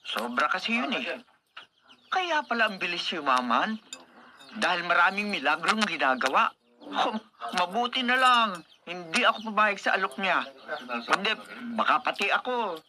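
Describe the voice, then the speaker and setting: A man playing a gossipy but honest police officer, chatty and excitable, with a Filipino accent in a radio drama. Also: high voice, normal speed, background noise. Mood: gossipy, relieved. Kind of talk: Philippine radio drama acting. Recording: crowd chatter and clucking behind.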